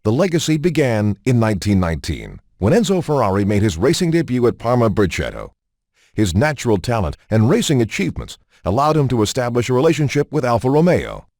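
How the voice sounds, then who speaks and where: Guy doing a polished promo voiceover, lots of lift in his pitch, super dry close mic. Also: big pitch swings, fairly quick, darkish tone, no echo. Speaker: narrator. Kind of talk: scripted promotional narration.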